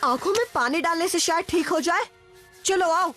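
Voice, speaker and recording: A woman's voice: very high-pitched, normal speed, a bit bright, soft background music. A woman voicing a brave, friendly young boy in a cartoon, eager and reassuring. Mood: concerned, helpful. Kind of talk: animated cartoon dubbing.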